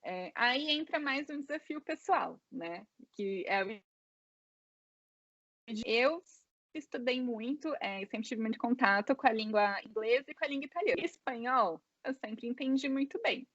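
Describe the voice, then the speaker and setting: A Brazilian woman with a Brazilian Portuguese accent tells her story in a casual interview, and she fills her talk with little asides. Her voice sits a bit high. The recording is muffled and dry. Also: animated, unhurried, fairly bright tone, dry recording. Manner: chatty, many fillers like "né" and "é". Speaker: interview guest.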